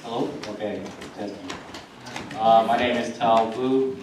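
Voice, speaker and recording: Male police officer from Philadelphia, halting self-introduction, distant and noisy recording. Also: mid-range voice, steady tone. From Philadelphia, United States. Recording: very echoey, noisy room from afar.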